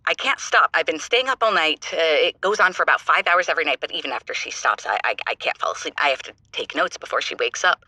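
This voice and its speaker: A woman's voice: low voice, expressive, quick pace, hardly any pauses, bright, forceful tone, no echo, heavily acted. A woman playing a sleep-deprived, obsessed writer calling a radio show, stammering and repeating herself keenly. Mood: hooked, frazzled. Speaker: caller.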